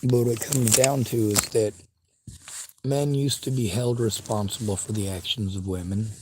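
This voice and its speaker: A man's voice: on the low side, fairly even intonation, moderate pace, noisy background. A man livestreaming, reading viewer chat and speaking bluntly and earnestly in a casual monologue. He has a stutter and a speech impediment.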